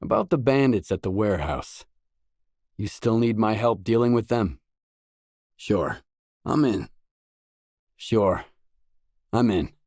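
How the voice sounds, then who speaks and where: A man playing a gruff, pragmatic stalker mercenary in a video game, speaking in a terse, matter-of-fact way. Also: on the low side, expressive, slow pace, pauses often, darkish tone, dry recording, studio quality, exaggerated voice. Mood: terse, composed. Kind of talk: video game dialogue acting.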